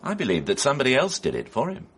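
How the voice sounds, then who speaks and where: Give the part of a wily, ambitious inspector. His delivery swings widely in pitch, from emphatic to confiding. The voice has a husky edge, in the style of a radio mystery drama. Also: huge pitch swings, rapid-fire, fairly bright tone, exaggerated voice. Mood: insistent.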